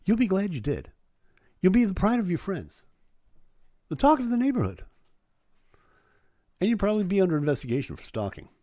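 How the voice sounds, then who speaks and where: A man's voice: sits high, wildly swooping pitch, fairly quick, pauses often, mellow, no room sound, clean studio sound. A man hosting a live stream, chatting casually with viewers in a self-deprecating way while losing his voice. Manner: chatty and self-deprecating, many fillers and asides. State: losing his voice, throat-clearing.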